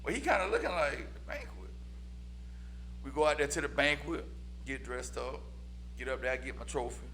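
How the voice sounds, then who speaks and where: A man's voice: medium pitch, moderate pace, long pauses, a little hiss or hum. A man from Tampa, a podcast guest, telling a story in casual chat and acting out what people said. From Tampa, Florida. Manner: animated, laughing storyteller acting out quotes.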